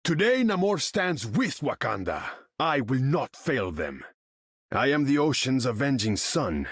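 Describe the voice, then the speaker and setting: An actor playing a proud, imperious undersea king in a video game, speaking formally and pompously with a husky edge. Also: mid-range voice, very animated, unhurried, fairly bright tone, no room sound, studio quality, heavily acted. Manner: pompous, formal, grandiose, speaks of himself in third person. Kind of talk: video game character voice lines.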